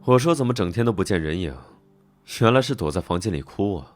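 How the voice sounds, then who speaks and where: A man playing a brooding, arrogant young duke in an animated drama, with a cool, detached manner. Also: quick pace, natural voice. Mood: aloof, mocking. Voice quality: very deep. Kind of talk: dubbed animated romance drama with inner monologue. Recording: loud music under the voice.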